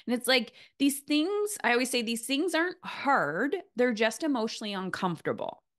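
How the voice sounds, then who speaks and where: A woman's voice: fairly high pitch, expressive, moderate pace, a bit bright. A woman hosting a casual interview in a motivational coaching style, emphatic and repeating phrases for weight. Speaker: podcast host, coach.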